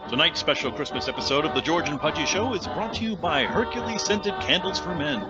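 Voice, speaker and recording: A man playing a mock-earnest radio announcer in an old-time commercial parody, salesy with dramatic pauses. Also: on the high side, expressive, natural voice. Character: mock-earnest radio announcer pitching silly sponsor products. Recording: dull lo-fi sound, loud music bed.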